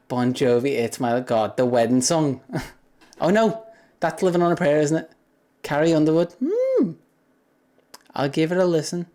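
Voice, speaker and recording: A young man with a deep voice chatting casually with viewers on a livestream, rambling and laughing often between thoughts. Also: big pitch swings, a little slow, long pauses, mellow.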